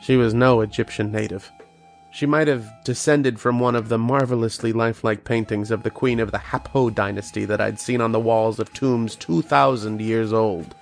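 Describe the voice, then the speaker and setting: An actor playing an earnest, haunted archaeologist telling his uncanny tale in old-time radio horror style, with dramatic, deliberate pauses. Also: lowish voice, steady tone, creaky, soft background music. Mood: brooding, haunted. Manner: dramatic first-person storytelling with deliberate pauses.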